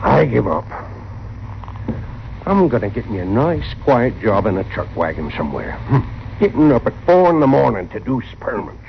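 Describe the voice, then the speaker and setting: An actor playing a sleepy, long-suffering cowboy sidekick in a radio western, with an American Western drawl, stammering and grumbling in a befuddled way. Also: male voice, medium pitch, big pitch swings, moderate pace, gravelly. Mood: exasperated. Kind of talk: old-time radio western acting. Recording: muffled, noisy old radio recording.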